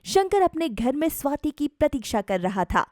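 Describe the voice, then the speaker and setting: A woman narrator reading a scripted animated moral story. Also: sits high, normal speed, no room sound, studio quality.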